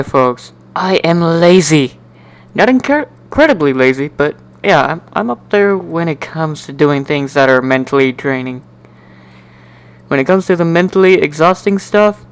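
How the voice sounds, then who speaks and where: Higher-pitched clear-voiced man, wry storyteller. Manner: animated, wry, sardonic delivery with exclamations. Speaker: amateur narrator. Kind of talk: dramatic reading of first-person fan fiction.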